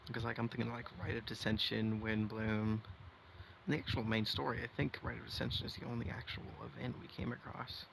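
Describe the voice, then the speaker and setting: A male gamer comments casually on play, thinking aloud in a rambling way. His mid-pitched voice is full of creaky fry, with clear noise behind it. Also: flat delivery, fairly quick. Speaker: streamer playing a video game.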